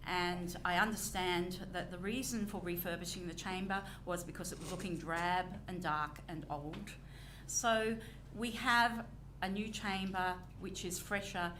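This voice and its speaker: An Australian woman chairing a council meeting, answering public questions in a measured, deliberate way with frequent pauses. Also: on the low side, steady tone, a bit bright. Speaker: council presiding member. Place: old council chamber. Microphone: recording microphone. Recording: noisy chamber recording.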